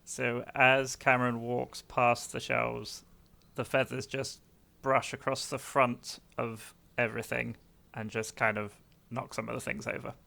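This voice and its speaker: A man's voice: medium pitch, flat delivery, unhurried, vocal fry, pushed and bright. A man improvising narration of his character's actions as a player in a roleplaying game.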